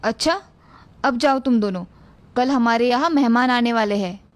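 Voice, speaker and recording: A woman's voice: on the high side, normal speed, no echo, natural voice. A woman voicing a greedy old mother-in-law in a cartoon family story. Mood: defensive, scolding.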